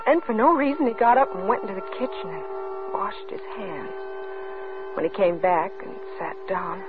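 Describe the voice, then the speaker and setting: An actress playing a frightened, pleading young pregnant wife in a melodramatic old radio drama. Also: female voice, mid-range voice, sing-song, normal speed, over music, natural voice. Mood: subdued, haunted. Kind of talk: 1940s-50s radio drama acting. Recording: noisy, muffled vintage radio recording.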